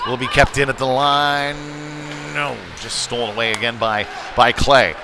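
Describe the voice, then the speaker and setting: Man calling hockey over clear rink noise. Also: mid-range voice, normal speed. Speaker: play-by-play hockey commentator. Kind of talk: live youth hockey play-by-play commentary. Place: ice rink, live stream broadcast.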